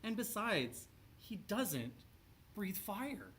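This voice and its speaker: Timid young storybook knight, played by a man: squeaky, high, earnest. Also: big pitch swings, very slow, fairly bright tone, a little hiss or hum, heavily acted.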